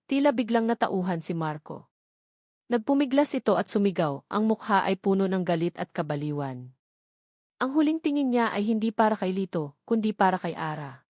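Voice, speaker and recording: A woman's voice: medium pitch, darkish tone, dry recording, clean studio sound. A woman with a Filipino accent narrating a scripted dramatic story.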